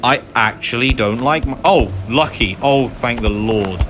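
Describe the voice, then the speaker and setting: A man narrates his video game playthrough in a casual, chatty way. His voice sits at an average pitch, and he is apologetic and self-deprecating. Game music is clearly audible behind him. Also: unhurried. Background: loud video game music.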